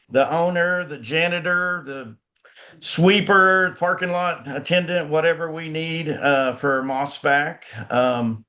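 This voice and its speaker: A man's voice: on the high side, a bit bright. Folksy man on a video call. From Northwest Arkansas, US. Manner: folksy, many filler words and restarts. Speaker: company founder presenting. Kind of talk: conference presentation over video call.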